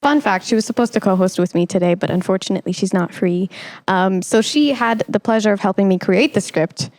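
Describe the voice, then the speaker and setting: A woman's voice: mid-range voice, brisk, a little mellow. A woman with a mid-range voice hosts a casual interview. She talks playfully and teases her guest. Her manner often tips into mock indignation. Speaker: podcast host.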